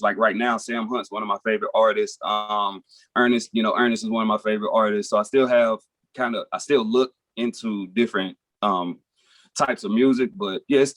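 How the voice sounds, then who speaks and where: A young man from Nashville talks easily as a podcast guest. His voice sits a little low, and his rambling, easygoing delivery leans on lots of fillers. The sound is dry, with next to no room. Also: fairly bright tone, dry recording. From Nashville, Tennessee. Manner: laid-back, rambling, frequent fillers like "you know" and "um". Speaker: guest musician, rapper. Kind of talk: casual interview chat.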